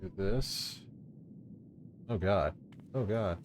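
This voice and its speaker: A man's voice: sits low, extremely slow, a little hiss or hum. A man giving casual, wry live commentary as a game streamer. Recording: game music behind.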